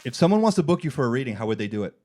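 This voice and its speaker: A man with a mid-pitched voice hosts a comedy podcast. He talks casually, like someone trading jokes with a guest. His delivery is wry and irreverent, with loose, unfiltered banter. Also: big pitch swings, rapid-fire, dark tone. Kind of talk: joking casual interview.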